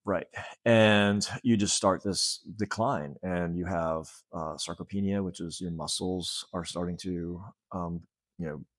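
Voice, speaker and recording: A man's voice: deep voice, steady tone, measured pace, no room sound. A man in his late fifties talking earnestly and casually as an interview guest, often breaking off to restart. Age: late 50s. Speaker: fitness center owner, interview guest.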